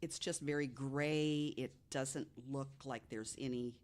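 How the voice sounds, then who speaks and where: A woman telling stories conversationally as a podcast guest, with deliberate, emphatic phrasing. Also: very deep voice, steady tone, a little mellow. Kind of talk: conversational storytelling of ghost stories.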